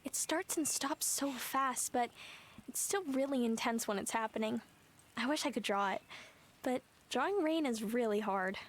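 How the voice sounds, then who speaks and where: A woman voicing a gentle, wistful, artistic young girl in a video game, dreamy and soft-spoken. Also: fairly high pitch, moderate pace, slight background noise, lightly acted.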